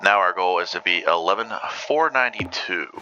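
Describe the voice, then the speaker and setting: A man's voice: low-pitched, expressive, brisk, muffled recording. A man hosting a gaming channel, chatting casually and rambling with lots of ums while he plays a racing game. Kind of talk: casual gameplay commentary. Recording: loud game engine noise behind voice. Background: racing car engines from the game.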